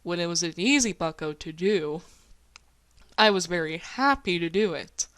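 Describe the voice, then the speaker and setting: A woman's voice: fairly low pitch, animated, moderate pace, a bit bright, no room sound, studio quality. A woman chatting casually as an amateur voice actor hosting a discussion. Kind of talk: casual chat.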